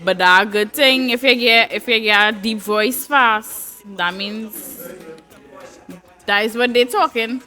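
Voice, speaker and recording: Woman host, bright voice, soft music. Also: on the high side, takes it slowly. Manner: chatty, reacts to comments with laughs. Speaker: talk show host reading viewer comments. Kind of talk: live show comment reading.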